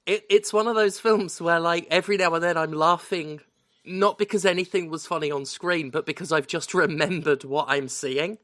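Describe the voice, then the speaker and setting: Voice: medium pitch, fairly even intonation, a bit bright. A podcast co-host in casual chat, recovering from illness and swearing freely. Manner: swears freely, drawn-out emphasis.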